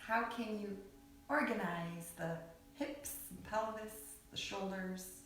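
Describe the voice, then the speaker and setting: A woman guiding a yoga nidra meditation in a soothing, unhurried way, with long pauses between short phrases. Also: medium pitch, fairly bright tone. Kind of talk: guided meditation. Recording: echoey, distant mic with background music.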